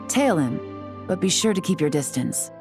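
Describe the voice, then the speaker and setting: Shrewd secret agent, deep female register, composed, commanding, dryly wry, video game story scene. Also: sing-song, normal speed, music in the background. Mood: businesslike.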